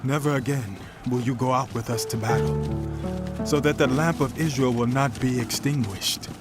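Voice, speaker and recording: A man's voice: medium pitch, normal speed, over loud music, natural voice. An actor, a man, playing a loyal soldier who speaks emphatically and earnestly to his king in a scripture drama. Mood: urgent, resolute.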